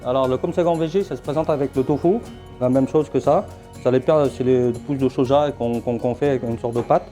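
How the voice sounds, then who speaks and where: A middle-aged man from Vietnam, a chef demonstrating dishes in his restaurant kitchen, talking haltingly with many fillers. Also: mid-range voice, fairly even intonation, mellow. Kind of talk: cooking demonstration. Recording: faint music behind.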